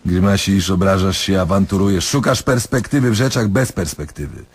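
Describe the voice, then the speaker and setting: A radio drama doctor with a devilish streak, speaking low and very hoarsely breathy. He is sardonic and domineering, toying with his patient and stretching words to needle him. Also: normal speed. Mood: scornful, berating. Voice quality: husky. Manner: sardonic, domineering, drawling for emphasis.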